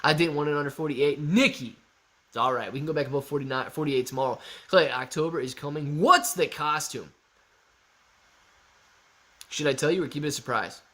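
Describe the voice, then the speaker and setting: A man in his thirties hosting a live stock market Q&A, reading viewer chat with playful, animated banter. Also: fairly high pitch, brisk, long pauses. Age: 30s.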